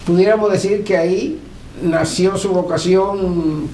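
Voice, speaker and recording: A woman's voice: fairly low pitch, fairly even intonation, a little slow, mellow. A woman interviewing someone attentively, asking formal and polite questions. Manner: formal, polite, frequent backchannels. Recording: echoey room with faint noise.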